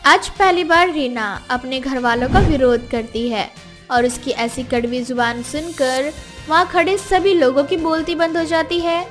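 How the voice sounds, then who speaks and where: A woman narrates a scripted story. Her voice sits high. Soft music runs faintly underneath. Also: unhurried.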